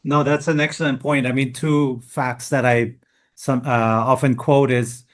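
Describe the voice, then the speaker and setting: A man from Pakistan hosting a podcast, asking questions quickly and eagerly with some restarts. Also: mid-range voice, flat delivery. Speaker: podcast host. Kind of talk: interview.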